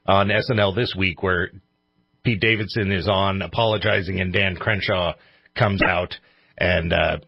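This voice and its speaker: Husky low-voiced man hosting, muffled room sound. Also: fairly even intonation, a little slow, dry recording. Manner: informal, joking. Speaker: radio show host. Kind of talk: casual chat.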